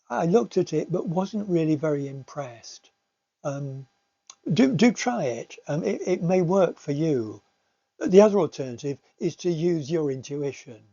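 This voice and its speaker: A man's voice: on the high side, a little mellow, dull audio. An older man, an English teacher, giving an informal recorded slide talk in a hesitant manner, often repeating words as he explains.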